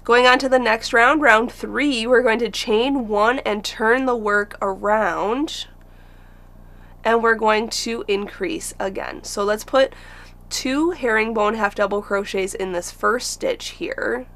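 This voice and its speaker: Female crochet instructor, unhurried and patient, with a gravelly edge to the voice. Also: on the high side, fairly bright tone. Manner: patient, counts stitches aloud. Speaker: crochet teacher. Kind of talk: step-by-step crochet tutorial.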